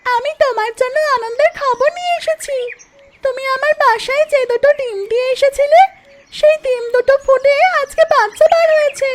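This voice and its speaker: Cartoon mother duck, extremely high voice, kind and earnest, noticeable noise with chirping birds behind. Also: moderate pace. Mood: friendly. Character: kind-hearted mother duck, gentle wife. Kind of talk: cartoon voice acting.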